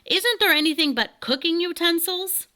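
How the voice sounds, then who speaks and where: A woman voicing a pompous, excitable male canary king from a storybook, commanding and self-important. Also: extremely high pitch, normal speed, voice pushed up. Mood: bossy, impatient.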